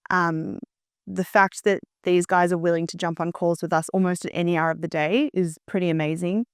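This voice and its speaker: Woman with a low, even, clear voice. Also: normal speed, no echo, clean studio sound. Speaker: panel guest, tech company partner representative. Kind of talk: conversational interview answer. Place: indoor studio, seated on a sofa. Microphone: clip-on lapel.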